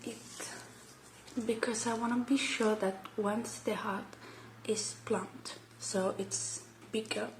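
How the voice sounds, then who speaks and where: A woman doing a soft ASMR roleplay, murmuring and whispering step by step in a hushed, hesitant way. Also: fairly high pitch, fairly even intonation, slow, echoey room, slight background noise, rough recording. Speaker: ASMR roleplay creator playing a robot repair technician.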